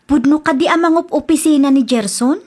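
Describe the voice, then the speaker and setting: A woman's voice: high-pitched, a little fast, darkish tone, natural voice. An actress playing a hopeful, trusting young girlfriend, easily dismayed, in a comedy radio drama.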